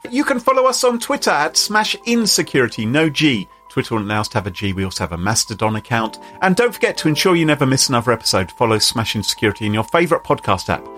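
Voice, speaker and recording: A man's voice: medium pitch, sing-song, quick pace, hardly any pauses, a bit bright, soft background music. A man hosting a podcast, wrapping up the show with jokey, playful banter. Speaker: podcast host.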